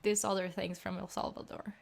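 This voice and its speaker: A woman hosting a podcast interview over a video call, speaking English with a Latin American Spanish accent. She sounds chatty and friendly and laughs easily, at a middling pitch. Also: little pitch movement, fairly quick, vocal fry. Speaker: podcast host.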